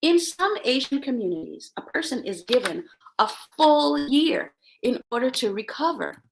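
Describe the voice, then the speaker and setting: A woman from Jamaica hosting a self-help show, giving motivational talk and personal stories in an emphatic, expressive way, repeating key words for effect. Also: sits high, normal speed, creaky, background noise.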